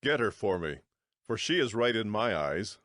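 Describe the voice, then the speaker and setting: A man's voice: fairly low pitch, big pitch swings, moderate pace, fairly bright tone, a little hiss or hum. An actor playing a headstrong, proud young strongman in a dramatized audio Bible, speaking in commanding, formal phrases. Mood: demanding.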